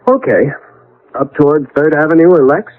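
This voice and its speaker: A man's voice: on the high side, expressive, moderate pace, close to an everyday voice. A man acting in a radio drama as a bewildered, exasperated husband, stammering and blustering with an American accent. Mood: uneasy. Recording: muffled, noisy old radio broadcast.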